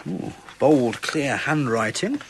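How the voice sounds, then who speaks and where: An actor playing a dogged police inspector in a wartime period audio drama, deliberate, probing and insistent. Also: male voice, medium pitch, lively intonation, background noise. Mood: musing, wry.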